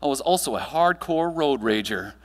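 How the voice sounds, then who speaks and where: American male keynote speaker, mid-range voice, emphatic and deliberate, long hall reverb. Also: mid-range voice, expressive. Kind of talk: rehearsed personal-story keynote speech on stage. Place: large indoor hall, speaking on stage. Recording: echoey hall with mains hum.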